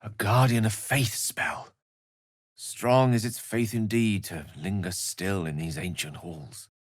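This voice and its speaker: A man's voice: on the low side, fairly even intonation, slow pace, no room sound, studio quality. An actor voicing a learned, witty, self-assured male wizard in a video game, with a wry, theatrical and bookish way of speaking. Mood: musing, scholarly. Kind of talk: video game character voice acting.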